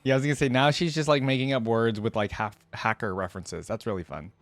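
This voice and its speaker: A woman's voice: extremely low pitch, steady tone, fast talker. A young adult woman giving casual reaction commentary as a video host, in an amused manner.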